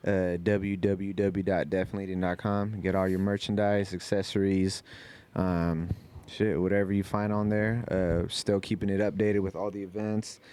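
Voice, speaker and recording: A man's voice: deep voice, little pitch movement, moderate pace, few pauses, darkish tone, clean tone. A man with an American accent talking casually and slangily as a podcast host. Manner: slangy, informal. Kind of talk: casual chat and sponsor shout-outs.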